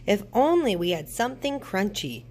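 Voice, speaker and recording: A woman's voice: mid-range voice, sing-song, normal speed, darkish tone, slight background noise, voice pushed up, natural voice. A woman voicing a gleeful old witch from a storybook, theatrical and cackling, with a chanting delivery. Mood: delighted. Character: gleeful old witch cooking bone soup.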